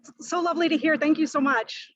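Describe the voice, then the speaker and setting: A woman's voice: sits high, fairly even intonation, quick pace, fairly bright tone, no room sound, muffled recording. A woman author answering questions about her stories, hesitant and searching for words. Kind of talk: answering questions on a video call.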